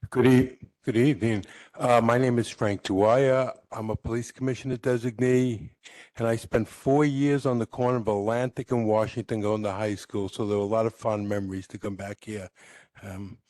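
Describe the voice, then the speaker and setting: A man on a civic board introduces himself in a relaxed way and adds a wry remark about the past. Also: fairly low pitch, moderate pace, no echo. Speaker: board member. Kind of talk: brief self-introduction.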